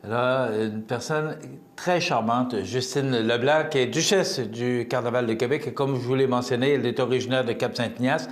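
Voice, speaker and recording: A man with a Quebec French accent hosts a local morning show in a roomy, echoey studio. He rambles along with fillers and restarts. His pitch sits at a middling level. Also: fairly even intonation, moderate pace. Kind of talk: casual on-air news chat.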